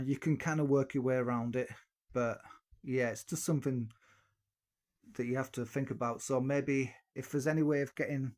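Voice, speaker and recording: A man's voice: mid-range voice, darkish tone. A man talking casually through a tutorial into a tilted handheld dynamic mic, rambling with many fillers and restarts. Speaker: video host giving singing mic tips.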